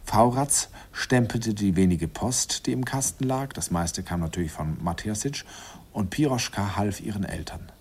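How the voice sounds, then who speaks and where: A man's voice: fairly low pitch, expressive, normal speed, a little mellow, breathy edge. A man playing a nostalgic narrator recalling a youthful romance in a radio play, wistful, intimate and amused. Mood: wry.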